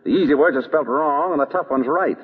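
An actor playing a shrewd, unruffled detective in a vintage radio drama, questioning in a wry, probing way. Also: male voice, a little high, a little fast, husky, narrow, tinny audio. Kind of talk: 1930s-40s radio drama acting. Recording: thin old radio broadcast.

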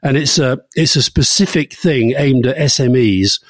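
A man's voice: mid-range voice, normal speed, dry recording, studio quality. A man co-hosting a political podcast, talking casually and often repeating words as he starts a sentence. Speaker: podcast co-host.

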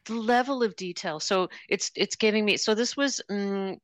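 A woman's voice: mid-range voice, normal speed, dry recording. A woman in casual conversation, thinking aloud in a thoughtful way as a guest on a talk show.

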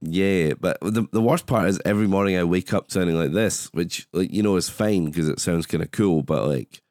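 Man, very low pitch, gravelly cold-hoarse voice, laid-back podcast host, dry sound. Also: normal speed, a little mellow, dry recording. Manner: laid-back, swears casually. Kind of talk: casual podcast chat.

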